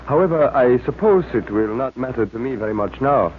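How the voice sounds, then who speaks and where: An actor playing a courteous, grateful Egyptian gentleman with a formal, halting way of speaking in a 1940s radio serial. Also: medium pitch, expressive, phone-quality sound, close to an everyday voice. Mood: inquiring. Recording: band-limited, faintly noisy old radio recording.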